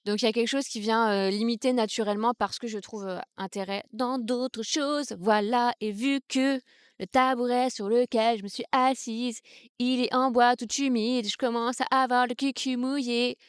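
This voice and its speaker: A young woman from Brittany, France, talking casually outdoors on a vlog and musing with many pauses and restarts. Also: fairly high pitch, steady tone, clean tone, dry recording, clean studio sound. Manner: thoughtful, hesitant, many fillers and restarts. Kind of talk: casual reflective vlog talk. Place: outdoors in the countryside, seated on a wooden stool.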